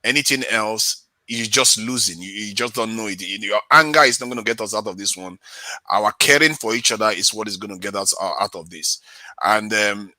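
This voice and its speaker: A man on an online panel speaks earnestly for calm and unity. His tone is very bright and sharp, and the sound is dry and close. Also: lowish voice, normal speed, dry recording. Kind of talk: advisory remarks on a panel.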